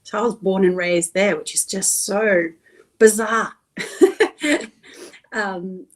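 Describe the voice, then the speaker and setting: A middle-aged woman from Australia, a guest in a casual interview, talking warmly with frequent laughs and drawn-out emphasis. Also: mid-range voice. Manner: enthusiastic, laughs often, drawn-out emphasis on words.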